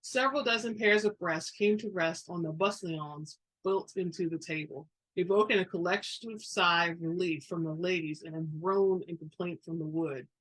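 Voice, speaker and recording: A woman narrates a story in a dramatic reading style. Her voice sits a little low and has a husky grain, as in an audiobook. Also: moderate pace, hardly any pauses, fairly bright tone, poor audio.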